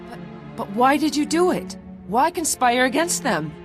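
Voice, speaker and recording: An actress voicing a proud, vengeful clan warrior in a video game, speaking in a formal, solemn and intense way. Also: female voice, sits high, big pitch swings, normal speed, music in the background. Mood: anguished, demanding. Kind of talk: dramatic video game acting.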